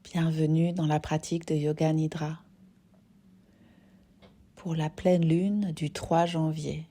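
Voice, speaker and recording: A woman with a fairly low, very mellow voice leads a guided meditation. She takes her time, speaking very slowly and gently in short phrases. The ends of her phrases turn soft and breathy. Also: little pitch movement. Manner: gentle, unhurried, pausing between short phrases. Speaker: yoga nidra teacher.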